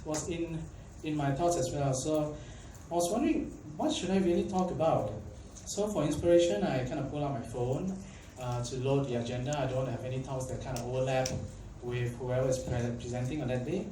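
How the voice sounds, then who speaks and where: A man's voice: medium pitch, a little fast, hardly any pauses, dark tone. A young man from Malaysia giving a casual conference talk, chatty and full of fillers, often asking the audience questions. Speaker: tech conference speaker. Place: conference hall. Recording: echoey hall, mic at a distance, faint background noise.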